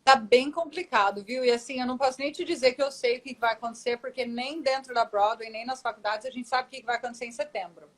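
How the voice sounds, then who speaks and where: A woman's voice: on the high side, fast talker, hardly any pauses, low-quality recording. Woman explaining voice technique. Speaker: guest voice teacher. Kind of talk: live online interview.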